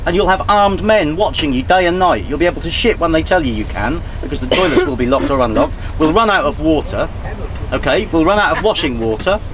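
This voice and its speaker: A man's voice: on the high side, lively intonation, a little fast, crowd noise, poor audio. A man bluntly laying down the hard facts to a group, swearing for emphasis. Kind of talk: heated group discussion. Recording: loud outdoor noise.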